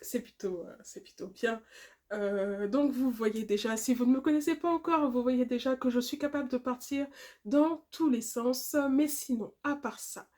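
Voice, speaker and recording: A woman in her late thirties from Guadeloupe, chatting casually to the camera in a rambling, talkative way, often laughing and filling pauses. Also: fairly high pitch, darkish tone. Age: 30s. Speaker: vlogger.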